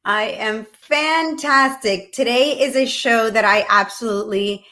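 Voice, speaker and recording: A woman's voice: fairly high pitch, measured pace, sharp tone. A woman from Cuba hosting a casual chat show, bubbly and playful, often laughing as she talks. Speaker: host.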